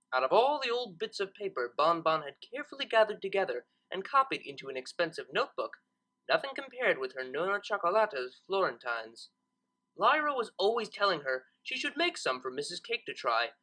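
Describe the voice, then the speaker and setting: A woman reading a scripted story aloud as narrator, with expressive delivery. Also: lowish voice, poor audio. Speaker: fan fiction narrator.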